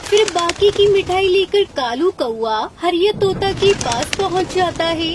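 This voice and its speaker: Very high-pitched woman narrating a story. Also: animated, unhurried, background noise. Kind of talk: scripted children's story narration.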